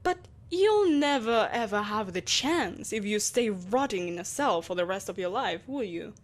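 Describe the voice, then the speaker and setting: A woman's voice: medium pitch, expressive, normal speed, lightly acted. A woman playing an imperious, seductive evil empress in a scripted roleplay audio drama, speaking in a haughty, theatrical, coaxing manner. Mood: coaxing, taunting. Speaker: voice actor.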